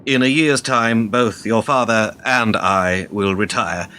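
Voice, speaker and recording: An actor in a radio drama playing an ageing, smooth-talking political fixer, cynical, measured and self-assured. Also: male voice, on the low side, sharp tone, slight background noise. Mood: grave, persuasive.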